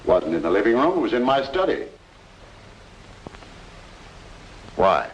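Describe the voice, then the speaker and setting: A man's voice: mid-range voice, very animated, fast talker, slightly rough, muffled recording. An actor playing a bitter, vengeful older man, once a father figure, in an accusing, theatrical old-time radio noir style. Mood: guarded, dismissive. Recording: old radio recording with music behind.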